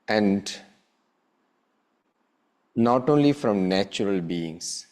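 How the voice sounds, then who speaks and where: Very slow, low-voiced male meditation guide. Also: lively intonation, darkish tone. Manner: measured, with long pauses between short phrases. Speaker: meditation teacher.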